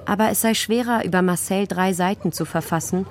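A woman's voice: medium pitch, fairly quick, a little hiss or hum. A woman narrating a radio documentary from a script.

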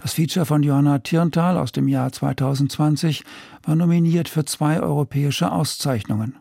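A man's voice: fairly high pitch, normal speed, vocal fry, dark tone, no room sound, studio quality. A man reading a scripted introduction as a radio presenter.

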